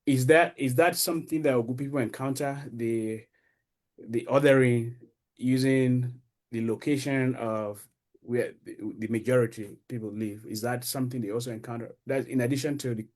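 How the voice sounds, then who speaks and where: A man's voice: medium pitch, steady tone, moderate pace, darkish tone. A man with a Nigerian accent, an interviewer, thoughtfully building up a long discursive question.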